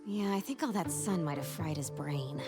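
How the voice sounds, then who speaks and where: A woman voicing a spirited, wry young heroine in a comedic animated game, plucky and dryly sarcastic. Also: sits low, normal speed, over music, natural voice. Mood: wry, upbeat. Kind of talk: animated video game cutscene acting.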